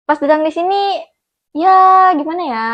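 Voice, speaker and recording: Easygoing young woman guest, high voice. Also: expressive, normal speed, lo-fi sound. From North Sulawesi, Indonesia. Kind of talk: casual chat.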